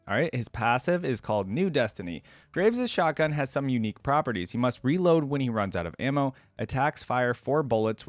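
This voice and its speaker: A man giving casual reaction commentary, curious and conversational, reading aloud and thinking out loud. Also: medium pitch, no room sound. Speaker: video creator reacting to game lore.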